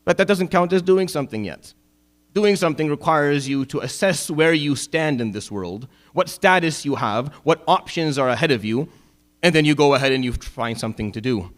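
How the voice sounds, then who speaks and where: A middle-aged man from Syria, giving a Friday sermon as an imam, impassioned at times and dropping low and wry at others. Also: animated, normal speed. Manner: impassioned, rising to emphatic peaks then dropping low and wry.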